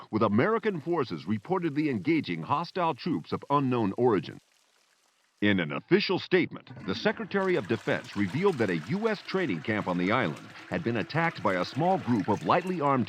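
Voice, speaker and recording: An actor playing a male news anchor in a video game, reading a scripted bulletin in a formal, measured announcer manner. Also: mid-range voice. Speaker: newsreader. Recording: dull, lo-fi game audio.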